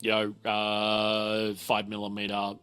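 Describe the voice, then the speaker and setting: A man explaining things as game master at a tabletop game, in a halting, explanatory way. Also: fairly low pitch, completely flat delivery, pushed and bright, smooth, faint music behind.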